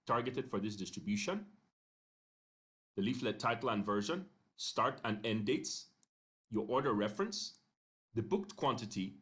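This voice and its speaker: A man narrating an instructional screencast in a measured, step-by-step way, pausing briefly between phrases. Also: mid-range voice, a bit bright. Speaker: software tutorial narrator.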